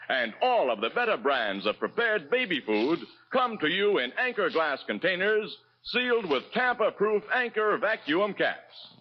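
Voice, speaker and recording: A man reading a scripted 1940s radio commercial as a measured, emphatic announcer. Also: sits high, a little hiss or hum. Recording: muffled vintage radio recording.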